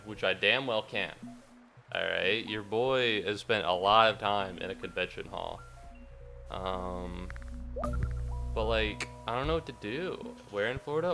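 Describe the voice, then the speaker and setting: A young American man, a little sniffly after a cold, chatting in a rambling, self-mocking way to his viewers during a casual game livestream. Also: lowish voice. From United States. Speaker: game streamer. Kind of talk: casual livestream chat while gaming.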